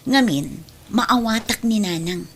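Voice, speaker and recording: A woman's voice: mid-range voice, lively intonation, slow, fairly bright tone, a little hiss or hum. A woman playing a caring but reproving elder sister in an audio drama, earnest and admonishing, with an Ilocano accent. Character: caring, reproving elder sister who defends her mother.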